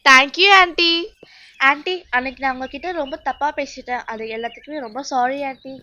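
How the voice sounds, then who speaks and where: A woman's voice: high-pitched, a little fast, sharp tone, lo-fi sound. A woman voicing a chatty, stubborn little girl duckling in a children's cartoon, with an emphatic, childlike, pleading manner. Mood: apologetic, grateful.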